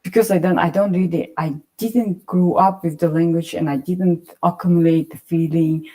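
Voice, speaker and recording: Low-voiced woman, searching for words. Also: dark tone, rough recording. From Russia. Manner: thoughtful, searching for words, frequent restarts. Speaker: panelist, poet. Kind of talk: panel discussion.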